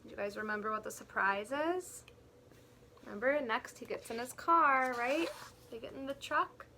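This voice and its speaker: A woman reads a picture book aloud as a teacher would for young children. Her voice is somewhat bright with a touch of creak, and she goes slowly and expressively, adding plain explanations along the way. There is faint noise behind her. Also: on the high side. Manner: expressive, deliberate reading with simple explanations for children. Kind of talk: read-aloud storytelling with explanatory asides.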